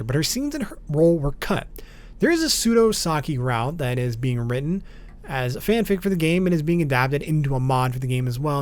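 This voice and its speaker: A man's voice: on the high side, a little mellow. A man narrating an explainer video as its host, in an informal, matter-of-fact way, with music behind him. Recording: background music under voice.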